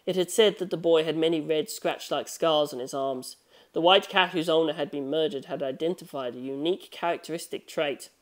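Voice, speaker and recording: A narrator reading a scripted story in an expressive, measured storytelling manner. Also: medium pitch. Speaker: audiobook narrator.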